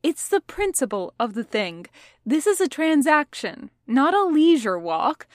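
A woman's voice: high-pitched, big pitch swings, measured pace, no echo, studio quality, voice pushed up. Woman playing a prim, exasperated schoolboy. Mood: matter-of-fact. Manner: precise, formal wording. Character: prim, exasperated, pedantic seventh-grade schoolboy.

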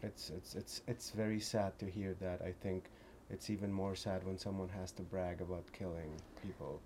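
A man in his forties from Afghanistan, speaking as an interview guest in an earnest, halting way with many restarts. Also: sits low, little pitch movement, darkish tone, a little hiss or hum. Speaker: shop owner, guest. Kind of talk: interview conversation.

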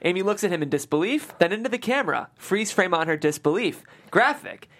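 Female stage-directions reader for a comedy table read; deep for a woman, highly animated, announcer-like on captions. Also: fairly quick. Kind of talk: scripted narration of comedy screenplay directions.

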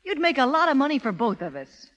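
A woman's voice: sits high, big pitch swings, fast talker, a bit bright, muffled recording. A woman playing a brassy, street-smart numbers racketeer with wisecracking, bossy 1930s radio delivery, on a vintage recording. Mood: coaxing.

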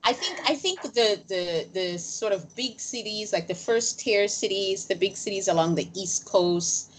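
A woman's voice: medium pitch, animated, a little slow, a little hiss or hum, poor audio. A woman hosting a casual conversational interview, speaking emphatically and repeating phrases for weight. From grew up on a small island. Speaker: interviewer, host.

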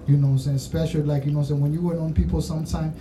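A man's voice: fairly high pitch, flat delivery, very fast, distant mic. A man with a Haitian accent interviewing musicians in casual, rambling chat, full of "you know what I'm saying", recorded off-mic with faint noise behind.

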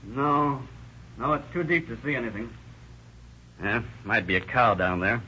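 A plainspoken deputy who tends to doubt. His voice is bright and mid-pitched, in 1940s radio acting on a dull, faintly hissing recording. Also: animated, measured pace. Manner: plainspoken, skeptical. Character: doubtful male deputy sheriff.

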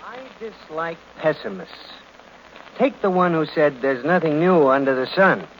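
An actor playing a glib, wry detective's assistant who narrates and needles in old radio drama. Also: male voice, a little high, a little slow, natural voice. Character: breezy, wisecracking male assistant and narrator of detective. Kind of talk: 1940s radio acting. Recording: muffled old radio recording, faint noise.

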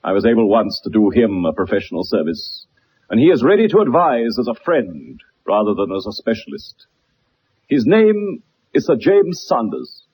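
Gentleman detective, animated and precise. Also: lowish voice, normal speed, a little hiss or hum. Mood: assured, explanatory. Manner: precise, lecturing, formal. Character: brilliant, self-assured gentleman detective, methodical and eloquent. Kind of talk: radio drama acting.

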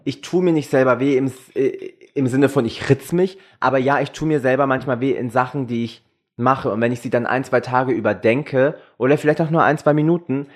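Junger Mann, locker und offenherzig plaudernd. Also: male voice, medium pitch, fairly quick. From Germany. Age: young adult. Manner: mixes English slang into German. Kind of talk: casual, candid group chat.

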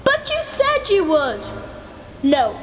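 Small sad girl, high pitch, blunt, defiant, audio drama, faint ambience. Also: wildly swooping pitch, slow, full character voice. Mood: defiant, pleading. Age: child. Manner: sulky, blunt. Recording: reverberant hall, faint background noise.

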